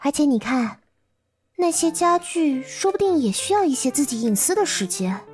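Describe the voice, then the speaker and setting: A woman voicing a gentle, playful young girl companion in a surreal game, speaking softly and unhurriedly with a caring, teasing manner. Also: high-pitched, lively intonation, moderate pace, soft background music. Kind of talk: visual novel game voice acting.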